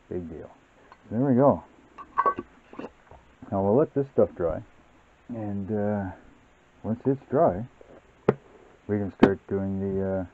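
Man, very low voice, hobby tutorial host, casual rambling explanations, thin phone-like audio. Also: noisy background. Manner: relaxed, rambling, many fillers. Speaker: model-building channel host. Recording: tinny, phone-like sound.

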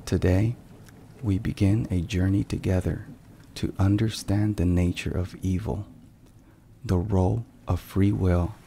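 A man giving a scripted, solemn ASMR devotional narration in a soft, measured and deliberate way. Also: low voice, creaky. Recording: steady rain and thunderstorm noise. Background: rain and thunder.

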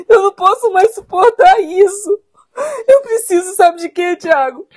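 Casting: actress as crying Brazilian wife, extremely high voice, plaintive, reproachful, domestic drama. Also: female voice, normal speed. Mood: tearful, reproachful. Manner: plaintive, reproachful, repeating herself. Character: tearful, long-suffering wife pleading with her passive husband.

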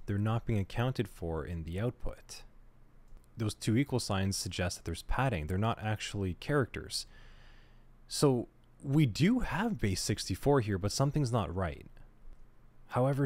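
A man teaching a cybersecurity lesson over a screen recording, talking through each step in a relaxed, conversational way and thinking aloud as he goes. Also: lowish voice. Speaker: cybersecurity instructor. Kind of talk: screen-recorded tutorial walkthrough.

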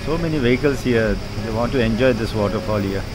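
A man's voice: mid-range voice, fast. A man, chatting casually to viewers and pointing out the sights as he travels by bus and then walks along a street. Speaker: travel vlogger. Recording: loud road and engine noise.

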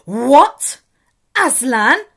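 A woman's voice: sits high, sing-song, drawn-out words, creaky, breathy edge, voice pushed up, full character voice. A woman voicing a fierce, imperious witch queen who speaks in a terrible voice. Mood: furious. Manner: commanding, furious.

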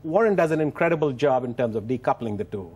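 The husky man in the studio guest chair of an evening business programme, picking through his answers with measured, halting care. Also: mid-range voice, expressive, darkish tone, lo-fi sound. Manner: halting, measured, with repeated starts. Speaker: business executive being interviewed. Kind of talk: television interview answers. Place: television studio. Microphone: lapel mic.

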